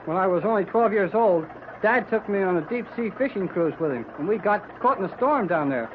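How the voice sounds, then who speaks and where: High-pitched earnest boy sailor. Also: lively intonation, fairly quick. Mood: earnest, uneasy. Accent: American. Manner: earnest, boyish. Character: earnest, worried teenage boy deckhand, eager and plucky. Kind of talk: old-time radio adventure serial acting. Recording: muffled, noisy old radio recording.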